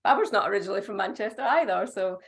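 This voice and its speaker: Scottish woman with gravelly voice. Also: mid-range voice, expressive, very fast. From Scotland. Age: 30s. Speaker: guest. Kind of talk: casual chat on a video call. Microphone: video call.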